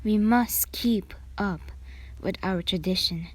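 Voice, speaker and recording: A woman's voice: medium pitch, slow, no room sound. A woman voicing a stern, pompous, commanding man of a proud assassin clan, in an anime fan fiction reading. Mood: indignant.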